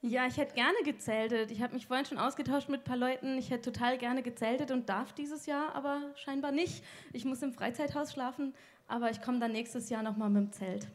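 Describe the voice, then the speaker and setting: A woman's voice: high-pitched, fairly even intonation, a little fast. A woman from the southern Palatinate in Germany, a guest speaker chatting casually in a stage interview.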